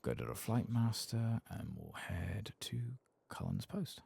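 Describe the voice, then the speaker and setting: A soft-spoken man giving ASMR video game commentary, gentle and unhurried, musing to himself and sometimes slipping into whispers. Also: lowish voice, very animated, vocal fry, breathy edge, dry recording.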